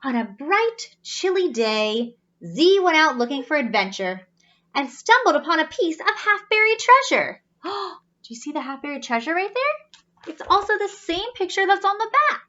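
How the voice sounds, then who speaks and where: Animated, high-voiced woman reading to children. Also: normal speed. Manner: teacherly, explains pictures, asks questions. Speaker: children's storybook reader. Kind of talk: animated read-aloud storytelling with asides. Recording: slightly dull, lo-fi sound.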